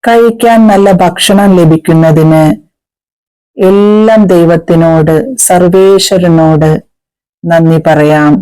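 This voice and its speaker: Slow, clear woman guiding meditation. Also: fairly low pitch, steady tone, warm tone, rough recording. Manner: measured, soothing, with pauses. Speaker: meditation teacher. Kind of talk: guided meditation instruction.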